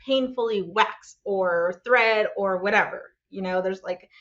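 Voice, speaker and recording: A woman's voice: mid-range voice, a little slow, a bit bright. Earnest woman on a dull, lo-fi line. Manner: earnest and conversational, checks in with "right?". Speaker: podcast panelist. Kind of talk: casual podcast chat.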